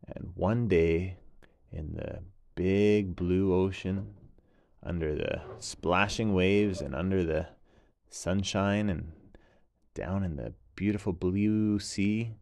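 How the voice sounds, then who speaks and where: A man narrating a children's bedtime story in a gentle, drawn-out way with repeated phrases. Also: sits low, a little hiss or hum.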